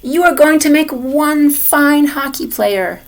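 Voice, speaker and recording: A woman voicing a loud, excitable, hockey-mad grandmother from a children's storybook, hollering emphatically. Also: high-pitched, takes it slowly, voice pushed up. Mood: proud, excited.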